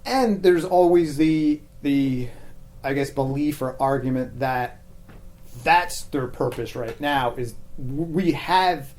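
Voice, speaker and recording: A man speaking as a podcast guest in casual, reflective conversation, halting as he works out his thoughts. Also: on the high side, darkish tone, a little hiss or hum. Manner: halting, thinking aloud, hedged phrasing.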